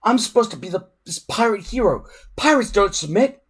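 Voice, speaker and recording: A man's voice: high voice, expressive, normal speed, breathy edge, pitched above the performer's own voice. A man voicing a stubborn, indignant young Viking boy hero from a storybook, earnest in manner.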